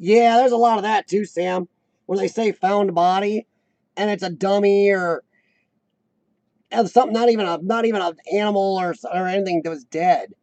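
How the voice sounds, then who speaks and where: A man in his forties hosting a casual live chat, with a high-pitched squeaky voice worn by coughing, who often clears his throat and restarts his sentences. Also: moderate pace, darkish tone, no echo. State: voice worn from lung illness and coughing. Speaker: host of a live chat show. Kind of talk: casual live-stream chat reading viewer comments.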